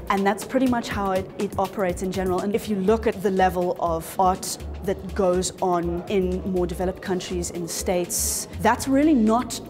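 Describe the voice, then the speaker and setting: A South African woman speaking in an interview as an organiser, articulate and explanatory. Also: mid-range voice. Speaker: festival organiser.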